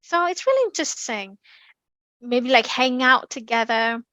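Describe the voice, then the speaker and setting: A young woman with an Indonesian accent, giving a lively online class talk with many ums and restarts. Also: high voice, moderate pace, dry recording. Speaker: community speaking presenter. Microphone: computer mic on a video call.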